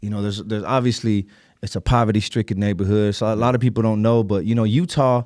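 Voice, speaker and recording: A man's voice: fairly low pitch, steady tone, fast, darkish tone, no room sound, studio quality. A young man from California hosting a casual podcast interview, stammering and repeating words, often asking "you know what I mean". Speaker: podcast host. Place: indoor podcast studio.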